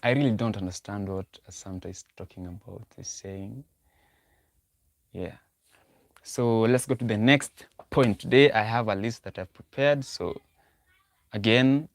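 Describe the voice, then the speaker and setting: A man's voice: lowish voice, no echo. A young man hosting a livestream, talking casually about dating advice, repeating himself as he thinks aloud and answering chat. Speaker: livestream host.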